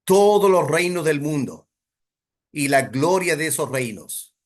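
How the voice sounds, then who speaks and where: A man teaching a Bible study in a deliberate, emphatic sermon style, putting rhetorical weight on his phrases. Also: on the high side, lively intonation.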